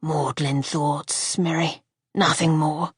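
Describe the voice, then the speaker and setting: A woman voicing a gravely ill gentleman who speaks softly and tenderly in a lowered, hushed voice. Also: fairly even intonation, slow, no echo, clean studio sound, full character voice.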